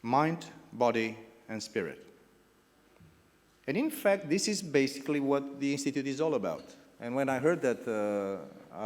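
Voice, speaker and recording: A middle-aged man with a Romanian accent gives a halting welcome speech as founding president, restarting his phrases and piling up lists of words. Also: medium pitch, a little mellow. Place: large indoor gala hall. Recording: reverberant banquet hall over a PA.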